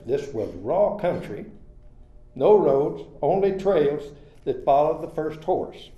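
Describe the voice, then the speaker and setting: An older man from Oklahoma reading a prepared personal history as a storyteller at an event, in a measured, plain way. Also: fairly high pitch. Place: retirement community event room. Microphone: handheld microphone. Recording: echoey, slightly dull room recording.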